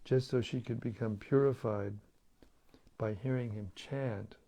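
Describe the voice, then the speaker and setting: A hoarse man losing his voice, hosting a daily scripture reading in a relaxed, chatty way over an online video stream. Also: mid-range voice, a little slow. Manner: relaxed, chatty, with light chuckles. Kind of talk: casual talk and Q&A on scripture.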